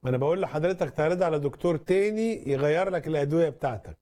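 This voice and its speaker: A man's voice: fairly high pitch, racing, dark tone. A man with an Egyptian Arabic accent, a doctor giving advice to callers on a live TV phone-in show. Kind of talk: live medical call-in advice.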